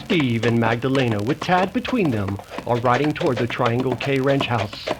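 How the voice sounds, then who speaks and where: A man's voice: mid-range voice, expressive, normal speed. A man narrating a 1930s-style radio drama in a dramatic, formal announcer's manner. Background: music.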